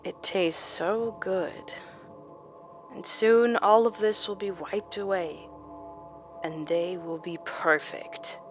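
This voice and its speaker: Woman voicing a hushed, gloating sadistic doctor. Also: fairly low pitch, fairly even intonation, soft background music. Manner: slow, hushed, savoring. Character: sadistic, gloating male doctor savoring others' fear. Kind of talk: quoted villain in horror audio drama.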